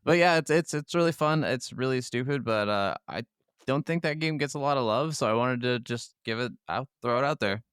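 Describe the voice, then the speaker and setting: A man's voice: mid-range voice, fairly quick, fairly bright tone, clear, resonant tone, no echo, clean studio sound. A man co-hosting a podcast, chatting casually in slangy, sweary speech. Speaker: podcast co-host. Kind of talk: casual chat.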